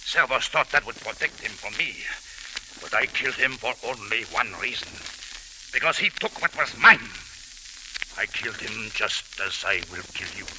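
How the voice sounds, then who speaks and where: A gold-obsessed villain in an old radio thriller, low in pitch and menacing. His phrasing is formal, deliberate and taunting. The recording hisses and crackles clearly. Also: animated, sharp tone. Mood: bitter, angry. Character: menacing foreign male killer obsessed with gold. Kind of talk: 1940s-50s radio drama acting.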